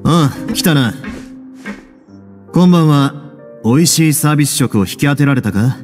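A man acting a composed, shrewd prison warden in a game, speaking with deliberate, self-assured, casual phrasing. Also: fairly high pitch, expressive, a little mellow, close to an everyday voice. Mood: calm, casual. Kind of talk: anime game voice acting, measured explanation. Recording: reverberant game audio over background music.

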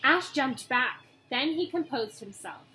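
A young woman reading a children's book aloud in a storytelling style, acting out dialogue and pausing to point out pictures. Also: sits high, expressive, bright, forceful tone. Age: young adult. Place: small room at home, indoors. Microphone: webcam or laptop mic at arm's length. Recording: noisy home webcam recording.

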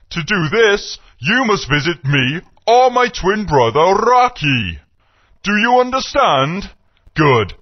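A man's voice: high voice, slightly rough, exaggerated voice. A man voicing a jolly old male Swapstone, a magic talking rock who patiently guides the player in a video game. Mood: jolly guiding. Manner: jovial, patient, guiding. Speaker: game helper character. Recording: dull lo-fi game audio.

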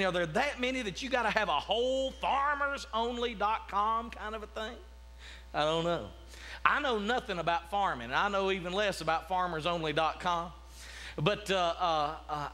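A man's voice: sits high, pushed and bright. A man, a pastor preaching a sermon in a church sanctuary, talking conversationally with many restarts and asides. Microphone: podium mic, walking about the platform.

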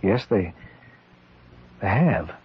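An actor playing an uneasy man telling his eerie story, measured and suspenseful, in 1940s radio style. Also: low voice, very animated, husky, exaggerated voice. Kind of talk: 1940s radio acting, dramatic narration. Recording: muffled, noisy 1940s radio recording.

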